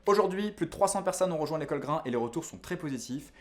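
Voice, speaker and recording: Guy, course teacher doing a scripted promo, talks really fast. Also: on the high side, expressive, darkish tone. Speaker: video course instructor and founder. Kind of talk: scripted promotional narration to camera.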